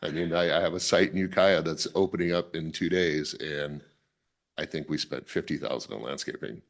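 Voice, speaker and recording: A man with a raspy, worn voice, presenting his project at a public planning meeting and restarting his sentences often. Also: very deep voice, steady tone, moderate pace. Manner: self-correcting, restarts often. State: raspy voice from a weekend tournament. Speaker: applicant presenting a site plan.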